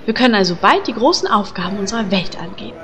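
A woman's voice: medium pitch, animated, fast, big-room sound, over a noisy crowd, natural voice. A woman playing a vain, clever, lovestruck elf priestess in a German fantasy parody audio drama, affected and self-important. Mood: bossy, businesslike.

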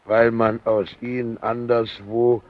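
An actor playing a gruff, suspicious, domineering man in a German radio drama, halting and insistent, on a dull recording. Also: mid-range voice, flat delivery, close to an everyday voice. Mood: brooding, scornful. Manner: halting, searching for words, insistent.

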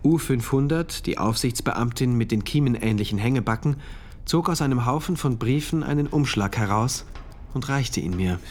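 A man's voice: medium pitch, lively intonation, normal speed, close to an everyday voice. An actor playing a troubled, introspective male diarist who narrates a dystopian radio drama in diary entries. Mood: brooding, detached.

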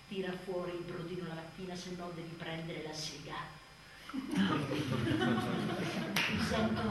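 An actress playing an exhausted, anxious young mother in a confessional radio theatre monologue, moving between intimate confession and sardonic outburst. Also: fairly low pitch. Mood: weary, subdued. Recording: very echoey stage, distant mic, faint noise.

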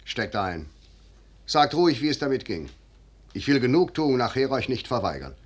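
An actor playing a shrewd, commanding, noble general in a 1950s German radio drama, speaking in measured, deliberate declamation. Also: male voice, medium pitch, big pitch swings, husky. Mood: provoking, commanding.

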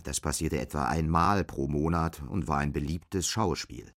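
An actor playing the sober narrator of a bleak dystopian tale in a scripted radio play. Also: male voice, very deep voice, normal speed, a bit bright, dry recording, clean studio sound. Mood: sober, measured.

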